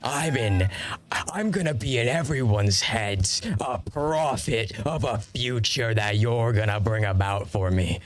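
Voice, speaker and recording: A man's voice: lowish voice, unhurried, lightly acted. A man voicing a brash, profane rebel rocker who hates governments, with a swaggering, preachy way of talking. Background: background guitar music.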